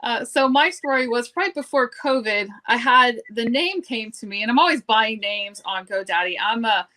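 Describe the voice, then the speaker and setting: A middle-aged woman from Cuba, a guest chatting casually in an interview, self-deprecating and lively in her storytelling. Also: on the high side, moderate pace.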